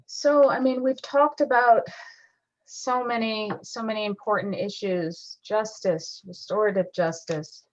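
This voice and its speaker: A woman moderating an online panel, summing up in measured, deliberate phrases over a lo-fi call. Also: fairly low pitch. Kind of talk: reflective spoken summary at an online panel. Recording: dull, lo-fi online call audio.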